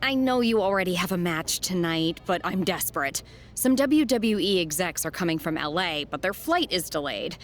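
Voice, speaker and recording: A woman's voice: lowish voice, expressive, normal speed, a little hiss or hum, close to an everyday voice. A woman playing a pressed wrestling show official asking favors in conversational game cutscene dialogue. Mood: pleading.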